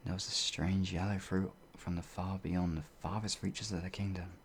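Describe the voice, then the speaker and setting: An actor playing a bewildered, humble werewolf outlaw in an ASMR fantasy roleplay, with halting, old-fashioned speech and a husky voice. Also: deep voice, level tone, a little hiss or hum. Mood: earnest reminiscing. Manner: halting, flustered, pleading, old-fashioned wording.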